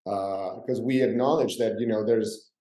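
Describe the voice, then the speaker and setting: A man from Mexico City, speaking as a perfumer on a panel, reflective and pausing often with fillers. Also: fairly low pitch, fairly even intonation, mellow, echoey room. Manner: reflective, with frequent fillers and restarts. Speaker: perfumer and brand founder. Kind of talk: panel discussion answer.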